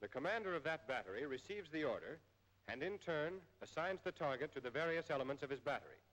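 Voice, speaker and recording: An American man reading scripted instructional narration in a formal, measured way, as in an old military training film. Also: fairly high pitch, lively intonation, a bit bright, poor audio.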